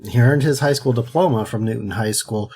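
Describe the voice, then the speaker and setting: A man's voice: medium pitch, a little fast, a little mellow, breathy edge. A man in his thirties co-hosting a podcast, joking around and then going through background facts. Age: 30s. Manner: goofy, joking. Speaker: podcast co-host. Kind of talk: casual chat and reading history.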